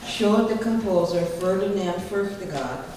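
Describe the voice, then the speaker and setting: A woman playing a deadpan talent-show alpine musician in a live radio-style comedy, recorded far off in a noisy hall. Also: mid-range voice, unhurried, lightly acted. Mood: earnest. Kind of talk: live old-time radio comedy acting. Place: live stage show in a library.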